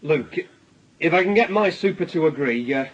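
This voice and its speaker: An actor playing a polite, hesitant policeman with a measured, official manner in a radio drama. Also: a little high, animated. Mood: careful, official. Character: cautious, polite plain-clothes policeman, adult male. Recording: dull radio drama recording.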